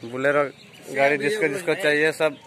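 A man's voice: a little high, normal speed, over a noisy crowd. A young man chatting casually to viewers in a vlog, filmed on a phone held at arm's length outdoors and in his car. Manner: flat, plain narration addressed to viewers. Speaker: vlogger, hire-car driver. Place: outdoors in a village, then inside a car.

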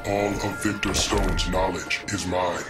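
Cold game cyborg: low, precise, emotionless menace over heavy music. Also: male voice, fairly even intonation, unhurried. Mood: cold, menacing. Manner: formal, clipped, unfeeling, precise. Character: cold emotionless evil cyborg seeking human feeling. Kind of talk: video game villain dialogue.

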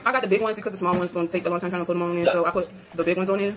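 A woman's voice: lowish voice, steady tone, racing. A young woman chatting casually to her viewers while cooking in her home kitchen, calling them y'all. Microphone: phone camera on the counter. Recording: noisy phone recording with kitchen clatter.

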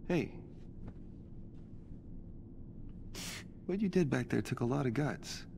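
Gravelly, hesitant, gentle mentor. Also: male voice, medium pitch, lively intonation, a little fast. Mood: gentle, reassuring. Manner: hesitant, fumbling, mumbled. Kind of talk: naturalistic video game acting. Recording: long reverb, faint background noise.

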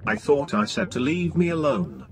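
A man's voice: a little high, lively intonation, moderate pace, faint music behind. A man playing a grumpy, vain hacker in a video game roleplay, speaking tersely with dry smugness. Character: masked male hacker, grumpy, vain, dryly smug.

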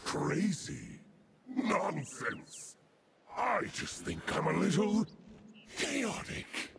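A male actor voicing a maniacal, chaotic undead lich necromancer for a video game, with a gravelly, theatrical delivery. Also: high voice, heavy background noise, exaggerated voice. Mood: manic, gloating. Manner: theatrical, drawn-out, manic swings. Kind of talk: video game character voice lines.

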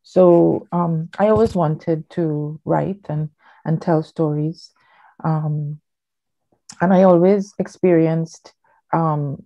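A woman's voice: low voice, vocal fry. A woman from Trinidad, older, giving a rambling, chatty workshop talk with many fillers over a home video call. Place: living room at home. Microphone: laptop webcam on a video call. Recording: dull lo-fi video call audio.

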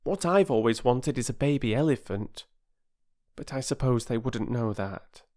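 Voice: mid-range voice, animated, moderate pace, a little mellow, pitched above the performer's own voice, close to an everyday voice. A narrator voicing an eager, chirpy young girl in a children's storybook.

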